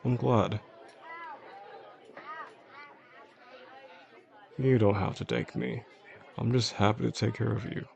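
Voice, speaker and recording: A man playing a possessive, soft-spoken boss in an intimate audio drama, talking gently and with control straight to the listener in a low, gravelly voice. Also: steady tone, some chatter behind. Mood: courteous, attentive. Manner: slow, soothing, gentle, controlled. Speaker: voice actor.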